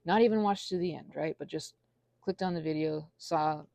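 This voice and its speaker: Woman, 30s, low and creaky, earnest and halting, intimate ASMR ramble with a self-deprecating streak. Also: a little mellow, dry recording. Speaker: ASMR channel creator. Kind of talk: rambling confessional ASMR monologue.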